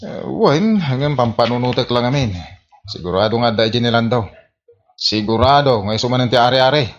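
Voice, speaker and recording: A man's voice: medium pitch, lively intonation, a little fast, highs cut off, close to an everyday voice. A man playing a grumbling, reluctant village husband in an Ilocano radio comedy drama, with an Ilocano accent.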